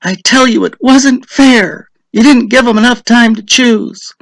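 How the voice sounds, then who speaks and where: A man's voice: very high-pitched, a little slow, gravelly, fairly bright tone, dry recording, voice pushed up, exaggerated voice. A man voicing a defiant, desperate village housewife in a dramatic story reading, pleading and indignant. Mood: indignant protest.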